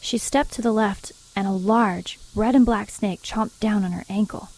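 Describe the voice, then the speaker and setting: An actress playing an earnest, proper nun who narrates strange events in an audio drama. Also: female voice, mid-range voice, a little mellow, shouting, natural voice, low-quality recording. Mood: grave narration. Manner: measured storytelling, primly earnest.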